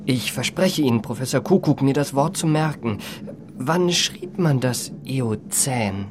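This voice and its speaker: An actor playing a polished young impostor posing as a marquis in a German radio play, formal and deferential. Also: male voice, mid-range voice, expressive, a little slow, background noise, natural voice. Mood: polite, fascinated. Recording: music behind the voice.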